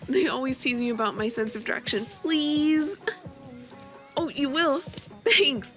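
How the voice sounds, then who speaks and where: A woman's voice: high voice, a little slow, a bit bright, soft background music. A woman voicing a sweet, flustered young employer who stammers sheepishly and is eager to please, in a scripted roleplay. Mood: relieved. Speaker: virtual streamer voicing her persona. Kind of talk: scripted roleplay addressed to the listener.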